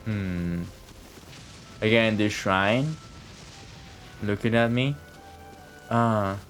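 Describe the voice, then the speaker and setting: A man's voice: fairly low pitch, extremely slow, a bit bright. A man giving casual live commentary while streaming a game, slangy and swearing freely, often repeating what the characters say. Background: faint game music.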